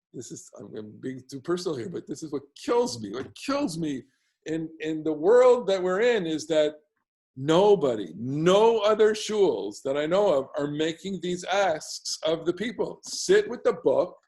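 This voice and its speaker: A man with a baritone bass voice teaching a casual class on prayer melodies from home, rambling with many restarts and asides. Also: a little mellow.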